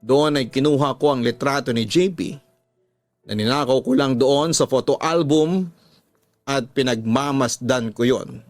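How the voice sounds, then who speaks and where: A man's voice: medium pitch, a little slow, no room sound, faint music behind. A man with a Filipino accent reading a listener's letter as a scripted, dramatic radio love story.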